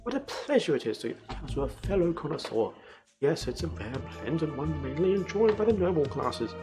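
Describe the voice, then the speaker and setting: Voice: mid-range voice, big pitch swings, fairly quick, music in the background. A streamer voicing a polite, well-spoken male goblin guard with formal, courteous manners from a text adventure game. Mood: pleased. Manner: formal, ornate, courteous phrasing.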